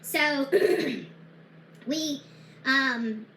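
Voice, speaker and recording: A high-voiced woman talks straight to her viewers about true crime. She is blunt and defiant, full of fillers and restarts, and her bright voice rings in an echoey room. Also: drawn-out words, a little hiss or hum. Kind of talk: casual talk to viewers.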